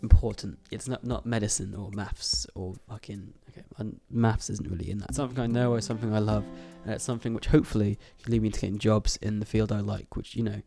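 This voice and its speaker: A man's voice: lowish voice, level tone, fast, creaky, few pauses, warm tone, soft background music. Bunged-up young man chatting casually. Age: young adult. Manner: rambling, swears often, laughs between thoughts. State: congested from a cold. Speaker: YouTuber. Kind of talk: casual vlog chat to camera. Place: student flat bedroom.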